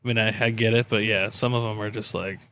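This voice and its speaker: A man's voice: on the low side, steady tone, fast, bright, forceful tone, a little hiss or hum. Wry, joking male podcast co-host. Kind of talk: casual sports podcast chat.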